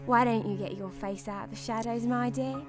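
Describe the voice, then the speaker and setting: Coy high-voiced Victorian streetwalker played by a woman, loud music. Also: steady tone, fairly quick, close to an everyday voice. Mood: flirtatious. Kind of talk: radio drama acting.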